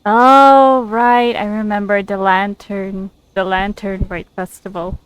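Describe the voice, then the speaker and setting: A woman comments casually on a game she is playing. Her voice is medium in pitch and her pace is slow and hesitant. Soft game ambience and insect chirps sit behind her. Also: level tone, rough recording. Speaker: gamer commenting on playthrough. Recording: faint noise with game ambience.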